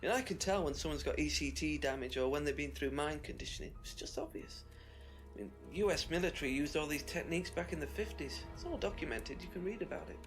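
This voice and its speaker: An actor playing an agitated, smug conspiracy theorist in a mystery video game, emphatic and know-it-all. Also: male voice, on the high side, fast talker, faint music behind, close to an everyday voice. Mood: defensive, insistent. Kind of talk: FMV mystery game acting.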